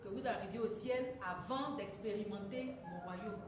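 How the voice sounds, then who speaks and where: A woman interprets a sermon phrase by phrase. She is often hesitant and rephrases herself as she goes. Her voice is mid-pitched and captured from far away in a strongly echoing hall with noticeable noise. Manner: quick, self-correcting, hesitant fillers. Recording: echoey hall from far off, noisy.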